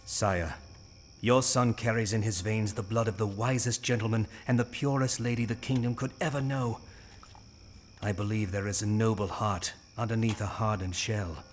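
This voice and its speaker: Man playing a low-voiced, loyal, solemn knight. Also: steady tone, normal speed, a little hiss or hum, natural voice. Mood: earnest. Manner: solemn, formal, persuasive. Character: loyal, earnest, firm knight counselling his king. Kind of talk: audio drama acting.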